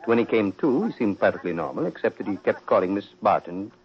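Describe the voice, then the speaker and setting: An actor playing the Devil as a suave, sinister prince, unhurried and mockingly polite, in the manner of 1940s radio mystery. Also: male voice, on the low side, animated, slight background noise, lightly acted. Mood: composed, explaining. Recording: dull old-time radio recording.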